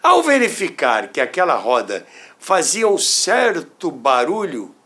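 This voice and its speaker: Older Brazilian man, animated, unhurried storyteller. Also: fairly high pitch. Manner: theatrical, deliberate, with dramatic pauses and asides to listeners. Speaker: storyteller, former radio worker. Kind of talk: animated anecdotal storytelling podcast narration.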